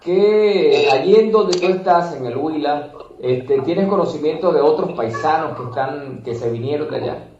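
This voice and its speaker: A man's voice: on the high side, expressive, moderate pace, darkish tone. A man from Venezuela with a Venezuelan accent, reporting and interviewing as a radio correspondent. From Guárico, Venezuela. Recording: echoey, muffled, noisy remote line.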